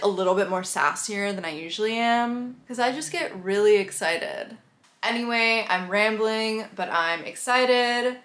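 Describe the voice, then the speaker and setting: A young woman in her twenties vlogging casually to camera from her bedroom, rambling and apologetic, slightly nasal from a cold. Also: medium pitch. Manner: apologetic and rambling, animated hand gestures. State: sick, a bit nasal. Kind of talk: casual vlog, talking to camera. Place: bedroom with couch, indoors. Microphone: camera mic at arm's length.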